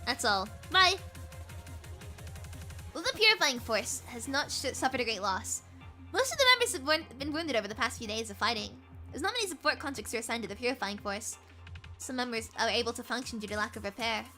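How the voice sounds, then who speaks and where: Hoarse, excitable woman streamer. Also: on the high side, brisk. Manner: excitable, gushes and trips over words. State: hoarse, losing her voice to a cold. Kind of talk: reading game dialogue aloud on a live stream. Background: game music underneath.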